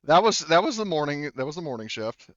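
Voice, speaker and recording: A man's voice: fairly high pitch, very animated, fast talker, fairly bright tone, no room sound, muffled recording. A man running a tabletop game as game master, narrating casually in a hesitant, self-correcting way.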